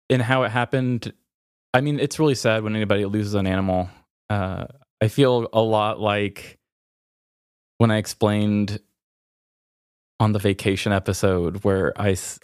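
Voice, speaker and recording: Low-voiced man, halting podcast talk. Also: smooth, no echo, clean studio sound. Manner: hesitant, halting, self-interrupting. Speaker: podcast host. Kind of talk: candid personal podcast talk.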